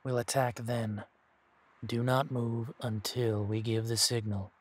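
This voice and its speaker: A steady werewolf leader, played by a man in an audio drama. His pitch stays very level. He speaks deliberately, with calm, protective authority. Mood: calm, commanding. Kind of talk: audio roleplay drama acting.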